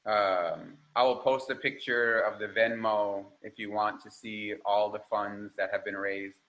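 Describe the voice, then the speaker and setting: Bright-voiced man thanking donors. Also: fairly low pitch, fairly even intonation, unhurried, low-quality recording. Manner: earnest, appreciative, loose with fillers. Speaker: capoeira teacher, fundraising organizer. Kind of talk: casual panel discussion. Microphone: video call.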